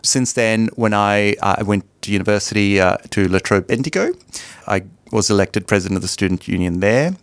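Male interview guest, lowish register, enthusiastic and conversational, very dry sound. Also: fairly quick, few pauses, clear, resonant tone, no echo. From Sri Lanka.

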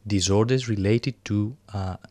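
A man's voice: fairly low pitch, darkish tone, no echo. A man with a Greek accent, a psychologist guest explaining things carefully in an interview, often correcting himself. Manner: careful, deliberate, self-correcting.

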